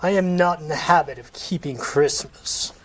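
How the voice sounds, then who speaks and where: A man's voice: a little high, normal speed, fairly bright tone, a little hiss or hum, close to an everyday voice, poor audio. A man reading the part of a stingy, cold miser in a comic table read, curt and dismissive. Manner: curt, dismissive, dryly haughty.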